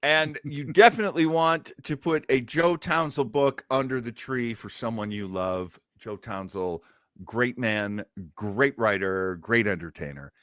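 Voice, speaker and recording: A man's voice: medium pitch, unhurried, a bit bright, no room sound, studio quality. A man hosting a casual chat, talking in a jokey, theatrical and effusive way. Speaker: host.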